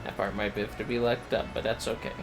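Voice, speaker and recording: A man hosting a game livestream, chatting casually and haltingly as he muses to himself. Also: fairly low pitch, level tone. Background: music.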